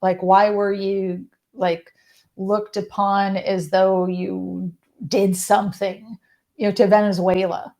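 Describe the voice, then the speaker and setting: A woman's voice: medium pitch, level tone, takes it slowly, vocal fry, mellow. A woman interviewing a guest, often restarting and rephrasing her questions. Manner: rephrases questions, starts over with "so".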